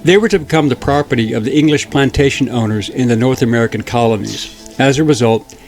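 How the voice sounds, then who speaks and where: Male documentary narrator, steady scripted read, faint music underneath. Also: mid-range voice. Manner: measured, steady reading. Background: faint background music.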